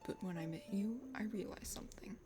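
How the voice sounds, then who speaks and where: A woman voicing an earnest, tender young prince from a dating game, soft, breathy and sincere. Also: mid-range voice, a little fast, whispered, over music, voice pushed down, lightly acted. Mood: earnest, confiding.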